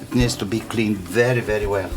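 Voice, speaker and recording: A man who speaks English as a second language, hosting a casual hands-on tutorial in simple, halting step-by-step phrases. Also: on the low side, fairly even intonation. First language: not English. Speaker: DIY tutorial host. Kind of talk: casual hands-on how-to narration. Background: music.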